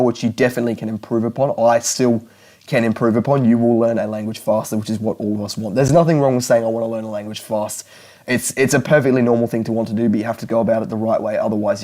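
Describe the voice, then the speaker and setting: A man's voice: medium pitch, darkish tone. Earnest young man giving study advice. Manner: earnest, repetitive, restarts often. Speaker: language learning YouTuber. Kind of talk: direct-to-camera advice talk.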